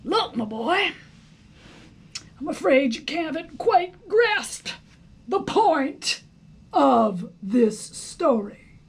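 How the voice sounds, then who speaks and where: This is a woman acting out a storybook father earthworm, patient and didactic. The delivery is slow and deliberate, high in pitch, with emphatic teaching. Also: sing-song, heavily acted. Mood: patient lecturing.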